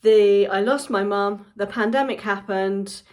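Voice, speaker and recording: A woman's voice: mid-range voice, fairly even intonation, unhurried, a little mellow. A woman in her forties, a book coach, chatting casually to camera at home with frequent ums. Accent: non-Japanese English accent. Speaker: book coach and channel host.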